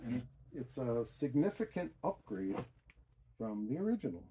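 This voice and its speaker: A man's voice: medium pitch, sing-song, moderate pace, dark tone, breathy edge. A middle-aged man giving a casual, unscripted DIY explanation, thinking aloud in a rambling, self-deprecating way. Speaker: DIY hi-fi hobbyist presenter.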